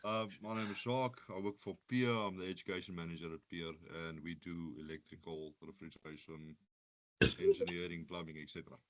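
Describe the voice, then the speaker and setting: A man's voice: low-pitched, fairly even intonation, fairly quick. A man introducing himself as an education manager at a meeting, good-humored and plain. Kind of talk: self-introduction.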